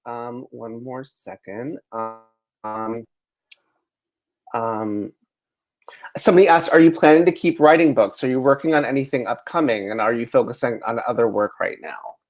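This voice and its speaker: An interviewer relaying audience questions in a casual chat, with a tentative, halting way of putting them. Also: mid-range voice.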